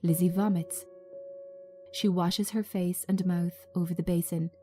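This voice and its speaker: Slow, mellow woman narrator. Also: lowish voice, level tone, faint music behind. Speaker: script reader, narrator. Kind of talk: scripted narration of stage directions.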